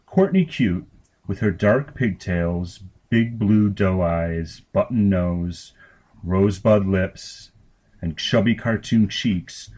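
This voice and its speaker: Man with a low voice to narrate darkly comic short fiction from a script. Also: mellow, husky. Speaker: story reader.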